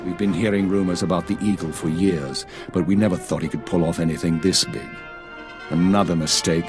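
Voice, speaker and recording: An actor playing a stern, commanding intelligence chief in a sci-fi game, speaking with grave, clipped authority. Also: male voice, sits low, steady tone, a little fast, music in the background, lightly acted. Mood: brisk, scornful. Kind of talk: video game voice acting.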